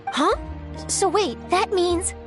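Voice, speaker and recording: An actress playing a keen, upbeat young female reporter in a video game, inquisitive and animated. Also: extremely high pitch, takes it slowly, heavily acted. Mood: curious. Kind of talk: video game character dialogue. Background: background music.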